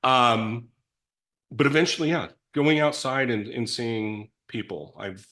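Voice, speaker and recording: A man's voice: medium pitch, measured pace. A middle-aged man in recovery telling his story in a reflective, rambling way with many restarts and self-deprecating asides. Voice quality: big loud voice. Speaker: guest in recovery.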